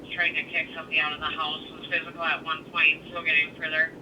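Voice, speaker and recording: A woman's voice: sits low, completely flat delivery, fast. A woman dispatcher speaking in terse radio calls through a scanner speaker. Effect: two-way radio through a scanner speaker. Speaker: police and fire dispatcher. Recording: noisy scanner radio heard from a distance.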